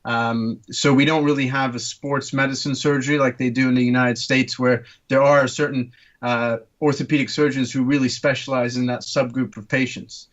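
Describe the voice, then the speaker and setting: A man's voice: medium pitch, little pitch movement, moderate pace, dull audio. A man in his thirties from Turkey, guest on a casual podcast, explaining things with many ums and uhs. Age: 30s. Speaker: guest orthopaedic surgeon.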